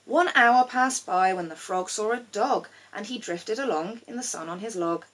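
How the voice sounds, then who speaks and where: A woman storyteller giving an expressive reading of a rhyming children's storybook. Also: mid-range voice, moderate pace, poor audio.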